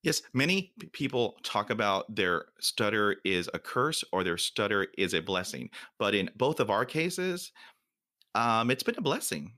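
Slow, bright-voiced playful male host. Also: on the low side, sing-song. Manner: playful, laughs at own questions. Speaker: podcast host. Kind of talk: casual interview hosting.

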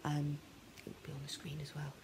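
A woman's voice: sits low, completely flat delivery, brisk. A middle-aged woman talking casually to camera at home, on a noisy home recording. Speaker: guest story reader introducing a charity appeal. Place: living room at home.

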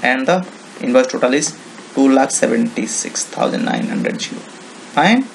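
A man with an Indian accent giving an informal software walkthrough, explaining step by step in simple, repetitive phrases. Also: medium pitch, huge pitch swings, unhurried, creaky, slight background noise, rough recording. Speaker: software tutorial presenter. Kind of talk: informal screen-recording walkthrough.

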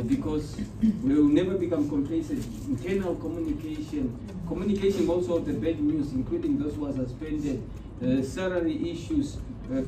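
A man's voice: on the high side, background noise. A man in a meeting room speaking with emphasis and repeating his points, as a senior manager. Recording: roomy sound from far off. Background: music.

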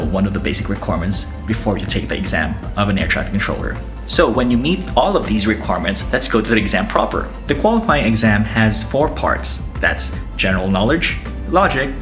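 A man's voice: on the low side, animated, fairly quick. A young man with a Filipino accent explaining things to camera as a vlogger in a living room. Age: young adult. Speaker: vlogger, air traffic controller. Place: small living room, indoors. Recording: music playing behind.

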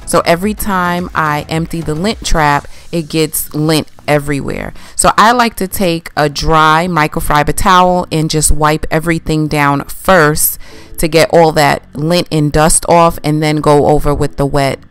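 A woman's voice: sits low, steady tone, a little slow, clean tone. A young woman casually narrating her home cleaning routine, chatty and explaining each step as she goes. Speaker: cleaning vlogger. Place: home laundry room.

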